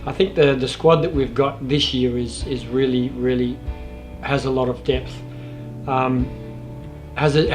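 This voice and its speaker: A man, a cyclist giving an interview, speaking in a measured way and often repeating words as he restarts. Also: mid-range voice, poor audio. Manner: measured, with frequent word repeats.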